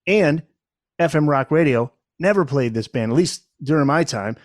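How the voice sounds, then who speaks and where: A man's voice: fairly high pitch, animated, normal speed, no room sound. Man hosting, dry close sound. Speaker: podcast host, former radio presenter. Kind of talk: casual conversational interviewing.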